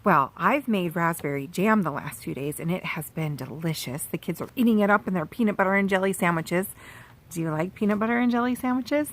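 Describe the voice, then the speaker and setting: A woman, a children's author, reading a picture book aloud outdoors by her farm garden, talking to young listeners in an animated, sing-song way and asking them questions. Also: fairly low pitch, brisk.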